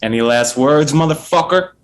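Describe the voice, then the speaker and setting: A menacing, foul-mouthed thug, played by a man at a script read. His voice is slightly high for a man. He talks in taunts. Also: normal speed, lightly acted. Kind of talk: screenplay table read.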